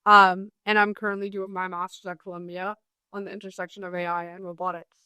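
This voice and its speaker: Young woman, even smooth voice. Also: mid-range voice, fairly quick, dry recording. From Spain. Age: young adult. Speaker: researcher guest. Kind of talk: self-introduction.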